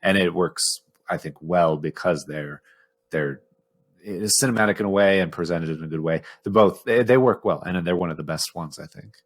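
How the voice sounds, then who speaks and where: Deep voiced guy on a movie podcast, casually explaining stuff, pretty informal. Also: normal speed. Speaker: podcast co-host. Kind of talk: casual film discussion.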